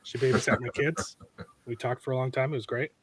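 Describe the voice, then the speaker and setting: A man hosting a casual podcast, hoarse from a cold, rambling with restarts and joking asides. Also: mid-range voice, fairly even intonation, a little fast, a little hiss or hum. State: hoarse, losing his voice from a cold. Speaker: podcast host.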